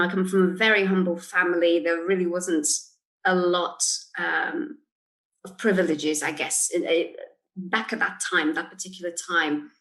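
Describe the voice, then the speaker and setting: A woman's voice: on the low side, a bit bright. A woman in her forties from Portugal, a guest on an interview podcast, thinking aloud and laughing often. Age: 40s. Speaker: guest, solicitor. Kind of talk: casual interview chat.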